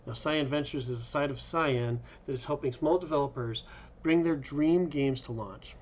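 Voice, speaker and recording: A man's voice: mid-range voice, normal speed, a little hiss or hum. A man hosting an interview with a panel of game developers. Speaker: host. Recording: slightly dull, lo-fi microphone.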